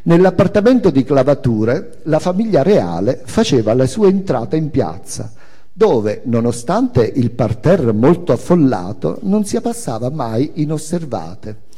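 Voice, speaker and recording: An older man reading a memoir theatrically, in measured phrases with dramatic pauses and emphasis. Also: mid-range voice, sing-song, warm tone. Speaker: author and performer reading own memoir on stage. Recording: hall reverb of a live reading.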